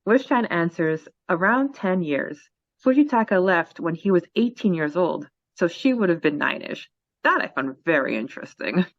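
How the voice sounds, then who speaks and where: A woman's voice: lowish voice, expressive, moderate pace, no echo, dull audio. A woman co-hosting a casual podcast who rambles and searches for words as she chats. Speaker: podcast co-host. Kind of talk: casual chat.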